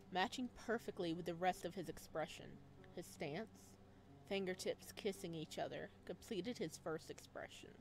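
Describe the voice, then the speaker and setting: A woman doing a let's play, reading story text aloud and adding expressive, chatty reactions in a casual style. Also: fairly low pitch, a little hiss or hum. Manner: expressive, chatty asides between read passages.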